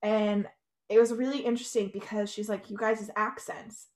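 A woman's voice: mid-range voice, flat delivery, moderate pace, darkish tone. A young adult woman co-hosting a podcast, talking casually with plenty of "like" and "you know". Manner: chatty, lots of "like" and "you know". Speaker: podcast co-host. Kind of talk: casual chat.

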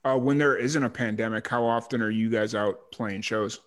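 A man hosting a music interview show, speaking in a relaxed way full of likes and you-knows. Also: lowish voice, moderate pace. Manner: relaxed, filler-heavy, uses like and you know. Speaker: podcast host. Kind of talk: casual interview chat.

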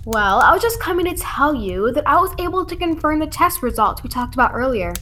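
A woman's voice: high voice, animated, a little fast, bright, forceful tone, slight background noise. A woman playing a plucky sleuthing helper and storyteller in an old-time radio drama. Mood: pleased.

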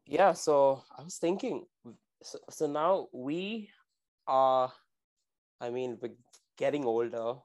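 A man's voice: mid-range voice, slow, long pauses, a little mellow. Casual male podcast co-host in his twenties, rambling and slangy. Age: 20s. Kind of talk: casual chat.

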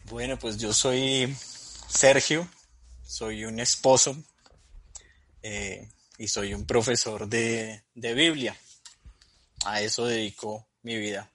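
A man's voice: mid-range voice, slow pace, pauses often, no echo. A man in his thirties, a Bible teacher, telling stories as a guest in a casual interview, often chuckling as he talks. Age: 30s. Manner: relaxed storytelling, chuckles mid-sentence.

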